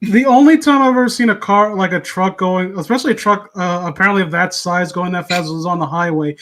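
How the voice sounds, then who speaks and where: A man's voice: high voice, a little fast, fairly bright tone. A young man co-hosting a podcast, ranting in disbelief and stumbling over his words. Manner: incredulous, stammering rants. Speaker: podcast co-host. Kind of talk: casual ranting chat.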